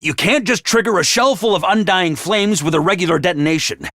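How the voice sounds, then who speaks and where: A man's voice: high voice, brisk, fairly bright tone, no room sound. A man voicing a chirpy, scheming male mascot creature who makes cheery announcements and turns snide and callous. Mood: sly. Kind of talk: video game voice lines. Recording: dry studio recording.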